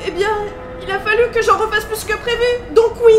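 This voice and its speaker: A woman voicing a panicked, defensive crewmate in a dramatic animated film dub, protesting frantically. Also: very high-pitched, fairly quick, over music. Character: panicked, defensive accused female crewmate, pleading.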